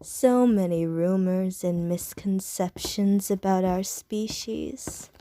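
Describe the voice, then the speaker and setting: Short, teasing female vampire voiced by a woman for ASMR roleplay: unhurried half-whispered delivery, mellow tone, innuendo-heavy flirting. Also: medium pitch, no room sound, close to an everyday voice. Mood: flirty whisper.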